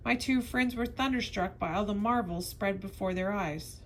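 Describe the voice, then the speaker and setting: A woman's voice: medium pitch, moderate pace, poor audio. A woman narrating a classic novel aloud expressively, now and then stumbling over a word. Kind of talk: read-aloud storybook narration.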